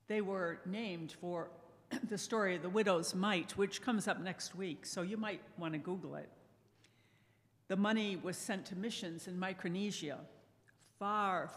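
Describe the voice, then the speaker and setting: An older woman speaking softly and thoughtfully to a congregation, sharing memories in a measured way as a lay speaker. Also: mid-range voice. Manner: soft-spoken, measured, reminiscing. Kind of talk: reflective personal talk to a congregation. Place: church sanctuary. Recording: echoey church hall reverb.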